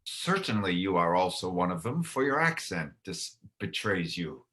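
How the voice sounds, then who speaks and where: A reader voicing accusing witnesses and bystanders in a church scripture drama, speaking deliberately. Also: low-pitched, very animated, a bit bright, poor audio.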